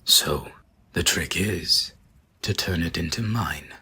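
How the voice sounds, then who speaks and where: A man's voice: slow, sharp tone, full character voice. A man playing a grim, resolute beast hunter in a gothic audio drama, terse and guarded. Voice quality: low, very husky.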